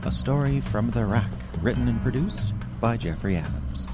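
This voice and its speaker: A man's voice: mid-range voice, animated, normal speed, over loud music. A man reading scripted radio drama credits as an announcer.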